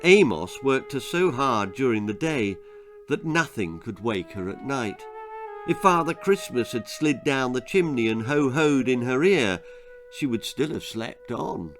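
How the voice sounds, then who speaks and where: A man tells a children's tale at a slow, measured pace. His delivery is dramatic, in a mid-pitched voice, with soft music underneath. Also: animated. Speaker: author reading his own story.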